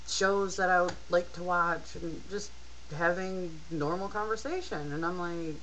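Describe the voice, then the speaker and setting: A woman's voice: fairly low pitch, fairly even intonation, a little slow, fairly bright tone, a little hiss or hum, low-quality recording. A tired young woman telling a personal story casually, in a candid, rambling and hesitant way, as a video blogger. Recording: rock song playing in the background. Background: rock music playing behind the voice.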